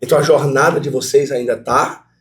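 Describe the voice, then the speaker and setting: A middle-aged man with a Brazilian Portuguese accent, a guest professor telling stories in a lively conversational interview and speaking straight to the students. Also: mid-range voice, fairly quick. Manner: animated, talks to students directly.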